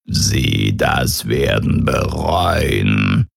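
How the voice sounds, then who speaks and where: An extremely deep, gravel-throated alien warlord. He croaks out threats in halting, broken sentences, dragging every word very slowly, with the hostility of a science-fiction radio play villain. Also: lively intonation, dry recording, clean studio sound, full character voice. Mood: menacing. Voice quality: guttural, gravelly, belching croak. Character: hostile alien commander, croaking, menacing, slow-witted speech. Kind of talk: audio drama character acting.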